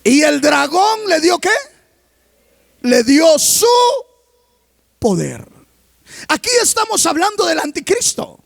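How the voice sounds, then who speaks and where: High, gravelly-voiced male preacher. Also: sing-song, slow pace, pauses often, no room sound, studio quality. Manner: constantly poses questions to the congregation; reads scripture aloud between remarks. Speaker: pastor. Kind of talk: sermon.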